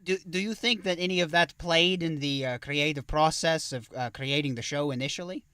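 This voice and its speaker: A man performs a vampire count hosting an interview show, courteous yet easily slighted. His phrasing is formal and halting. The voice is bright and sits very dry and close. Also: a little high, clear, resonant tone, no echo. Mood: courteous, inquisitive. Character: male vampire count hosting a talk show, polite, touchy. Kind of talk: in-character podcast interview.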